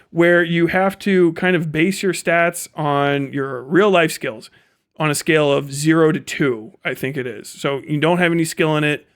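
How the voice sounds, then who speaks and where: A man's voice: on the high side, fairly bright tone. A man giving casual, opinionated livestream commentary in a blunt, profane, conversational way. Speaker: streamer and commentator.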